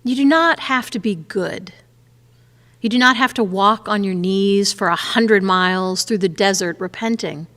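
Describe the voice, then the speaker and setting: A woman reciting a poem in a measured way. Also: medium pitch, a bit bright. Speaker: poetry reader. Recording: long reverb of a church hall.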